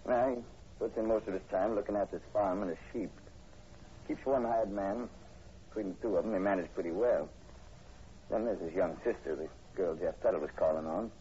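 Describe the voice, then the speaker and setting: A man's voice: deep voice, brisk, warm tone, close to an everyday voice. An actor playing a skeptical state police sergeant in a 1940s radio horror drama, folksy and matter-of-fact in his delivery. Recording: muffled, hissy old radio recording.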